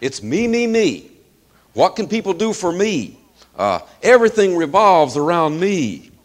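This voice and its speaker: A middle-aged man preaching in a folksy, chuckling manner, hoarse as he loses his voice, with plenty of fillers. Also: high voice, sing-song, measured pace. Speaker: pastor. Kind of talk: sermon.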